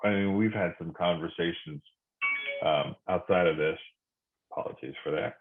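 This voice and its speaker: A middle-aged man from Korea reflecting in conversation, speaking thoughtfully with many pauses and restarts. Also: low-pitched. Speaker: podcast guest. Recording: phone-like narrow sound.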